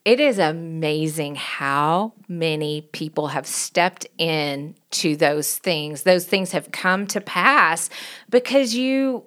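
Smooth-voiced Southern woman, low pitch. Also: slow pace. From Knoxville, Tennessee. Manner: warm, earnest, rambling with restarts. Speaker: pastor and worship leader, podcast co-host. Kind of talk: casual chat.

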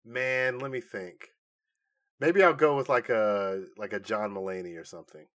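A man co-hosting a podcast in casual chat, informal and often halting as he thinks aloud. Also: lowish voice, a bit bright. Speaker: podcast co-host. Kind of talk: casual chat, pitching comic movie ideas.

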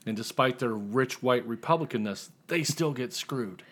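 A man's voice: mid-range voice, moderate pace, slightly rough. A tipsy American man from Indiana chatting casually as an author guest, rambling, self-deprecating and profane. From Indiana, United States. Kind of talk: casual chat.

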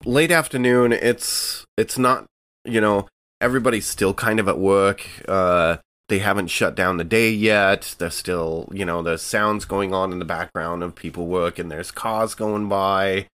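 A man's voice: deep voice, steady tone, unhurried, a bit bright. A man playing a tabletop roleplaying game, describing his character's scene in a hesitant, reflective way. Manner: hesitant and reflective, many fillers. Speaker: player.

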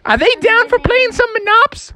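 A little wolf pup for a storybook tale. The voice is very high and childlike. The cub is cheerful, casual and slangy. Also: female voice, animated, moderate pace, sharp tone, heavily acted. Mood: cheerful, curious.

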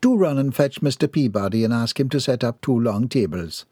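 A man voicing a formal, commanding mistress of a mansion, from Jamaica, who speaks primly and briskly. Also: mid-range voice, expressive, dark tone, slightly rough, dry recording, clean studio sound. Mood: proper.

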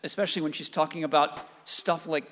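A man plays an anxious, earnest family man in a radio play, hesitant and prone to stammer. His voice sits a little high, in long hall reverb. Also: fairly even intonation, fairly bright tone, lightly acted. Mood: timid, earnest. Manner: halting, stammering.

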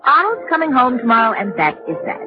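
A woman's voice: on the high side, sing-song, fast. An actress playing a cool, dismissive married woman in 1940s radio drama, haughty and condescending. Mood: breezy, dismissive. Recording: dull vintage radio recording, faint music.

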